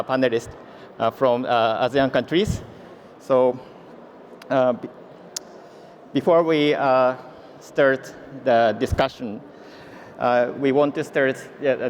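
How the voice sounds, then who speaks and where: A man moderating a panel on stage, speaking haltingly in short broken phrases. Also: medium pitch, a little hiss or hum.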